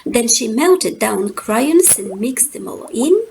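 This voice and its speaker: A woman reading a rhyming children's story aloud, expressively and rhythmically, with dramatic pauses and drawn-out words. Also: on the high side, big pitch swings, creaky, darkish tone, slight background noise.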